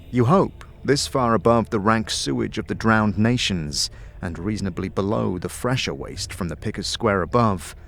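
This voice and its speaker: A man giving scripted fantasy narration in the second person, as a measured, dramatic storyteller. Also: fairly low pitch.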